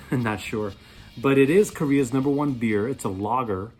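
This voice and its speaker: A man in his thirties reviewing a product in a casual, playful way, rambling as he thinks aloud, with a slightly husky voice. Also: mid-range voice, measured pace, darkish tone, soft background music. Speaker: beer reviewer, vlog host.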